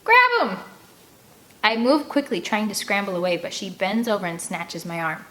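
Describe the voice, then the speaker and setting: A woman reading an audiobook aloud as host, with expressive narration and casual delivery. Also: medium pitch, a little fast.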